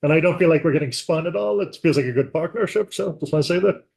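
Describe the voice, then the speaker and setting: A committee member, a man, speaking quickly in an appreciative tone. His voice sits somewhat higher than most men's. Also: creaky, a little mellow. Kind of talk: committee remarks.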